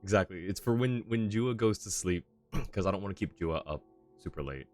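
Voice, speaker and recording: A man's voice: low voice, expressive, a little fast, a little mellow, soft background music. A young man chatting casually and self-deprecatingly while streaming a game. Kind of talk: casual live-stream chat.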